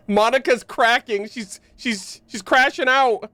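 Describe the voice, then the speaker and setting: A man's voice: very high voice, steady tone, slow, bright, forceful tone, no room sound. A young man streaming a game with casual, slangy commentary, joking and incredulous. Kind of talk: casual live gameplay commentary.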